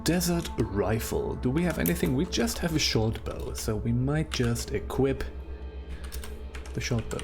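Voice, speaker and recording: A man's voice: medium pitch, lively intonation, unhurried, over music. A man with a German accent giving casual, rambling commentary while playing a video game, chatty and self-deprecating. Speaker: let's play host.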